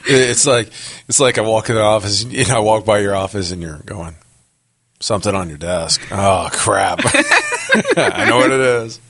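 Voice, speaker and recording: A man co-hosting a casual podcast, hoarse from a cold, rambling with many fillers and restarts. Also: on the low side, huge pitch swings, moderate pace, sharp tone, no echo, studio quality. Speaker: podcast co-host. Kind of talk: casual chat. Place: office.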